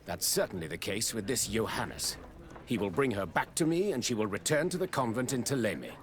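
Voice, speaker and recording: A man's voice: fairly low pitch, expressive, a little fast, background noise, close to an everyday voice. An actor playing a stern, pious, middle-aged father in a video game, haughty and bitter in his formal speech. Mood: stern, resolute. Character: stern, pious, scornful father of grown daughter. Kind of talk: video game cutscene dialogue.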